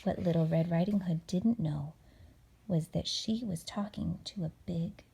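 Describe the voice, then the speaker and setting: A woman reading a storybook to a child as a mother, dramatic and expressive, dropping into hushed tones for suspense. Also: fairly low pitch, measured pace, gravelly, husky.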